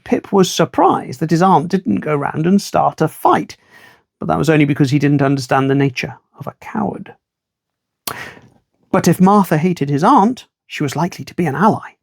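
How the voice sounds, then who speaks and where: A man reading a children's story aloud in an expressive storytime style, with wry asides to the listener. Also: sits high, gravelly, no room sound, clean studio sound.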